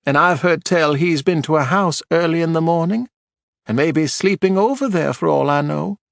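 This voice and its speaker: A man's voice: moderate pace, creaky, no room sound, studio quality. A man voicing an indignant, haughty older widow testifying on a witness stand. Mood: gossipy. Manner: shrill, imperious, snappish.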